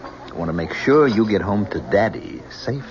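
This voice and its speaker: An actor playing a bitter, sarcastic young fiancé who has been drinking, in old-time radio drama style. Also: male voice, on the low side, lively intonation, normal speed, crowd noise, lightly acted. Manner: sardonic, mock-sweet drawl. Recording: dull, noisy old radio recording.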